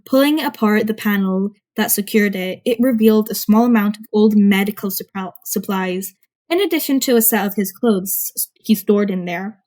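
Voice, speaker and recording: A woman's voice: mid-range voice, normal speed, a little mellow. A woman narrating fan fiction as an amateur audiobook, reading informally with some stumbles over words. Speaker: podfic narrator.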